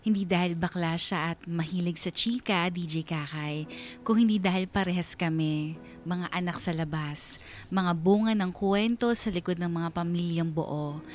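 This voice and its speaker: A woman playing a young letter writer narrating her painful story in a measured, reflective way for a radio drama. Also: fairly low pitch, soft background music, natural voice. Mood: reflective, sorrowful.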